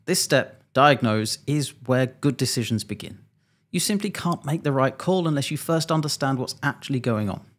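A man around forty, an airline pilot, presenting a scripted explainer in a measured, methodical, slightly dry way, close to a desk microphone. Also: medium pitch, lively intonation. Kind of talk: scripted explainer narration to camera. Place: small home studio indoors.

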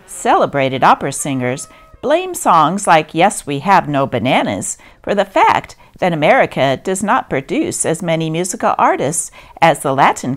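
A woman narrator reading old newspaper stories aloud in a theatrical, scripted style. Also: deep voice.

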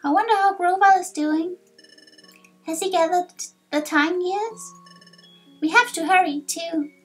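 A woman's voice: extremely high pitch, a little slow, faint music behind, pitched above the performer's own voice. A woman voicing an earnest, anxious young fox creature in a video game, eager and pleading in manner. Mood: earnest, wistful.

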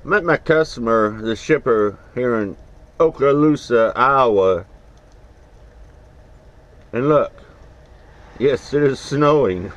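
A middle-aged man, a truck driver, talking to the camera from his cab in an easygoing, plainspoken, rambling way. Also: medium pitch. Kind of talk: casual vlog narration. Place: inside a truck cab and outdoors beside it. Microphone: action camera mounted in the cab. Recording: dull camera audio with road noise.

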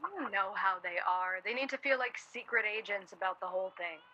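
A woman's voice: medium pitch, expressive, brisk, bright, forceful tone, lo-fi sound, slight background noise. An actress playing a wry, teasing, affectionate composer in her late twenties in a naturalistic radio play.

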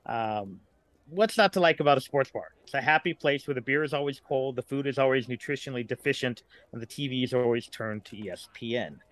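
A man's voice: medium pitch, a little fast, a bit bright, dry recording, low-quality recording. A middle-aged man talking casually as a writer guest on a podcast.

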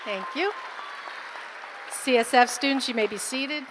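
A woman's voice: high-pitched, unhurried, noisy background. Formal woman announcer at a podium mic, long hall echo. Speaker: school administrator announcing honors. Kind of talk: ceremony announcements. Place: large concert hall stage. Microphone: podium microphone. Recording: long hall reverb, faint crowd.

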